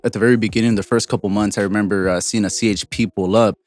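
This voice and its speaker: A young man, a street food vendor, telling stories in a relaxed, rambling way with lots of fillers. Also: fairly low pitch, quick pace. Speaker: guest, street taco vendor. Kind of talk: casual podcast chat.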